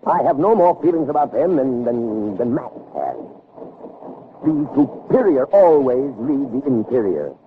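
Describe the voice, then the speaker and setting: An actor playing a proud, cold, middle-aged scientist, lecturing with emphatic authority in 1960s radio drama style. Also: male voice, medium pitch, sing-song, measured pace, a little hiss or hum. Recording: narrow, phone-like old radio recording.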